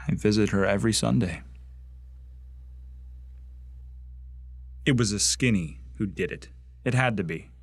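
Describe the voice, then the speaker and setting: A man's voice: on the low side, no room sound. A young man acting a bitter, bigoted Martian recruit in a radio drama, ranting with contempt. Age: young adult. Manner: hateful, contemptuous ranting monologue.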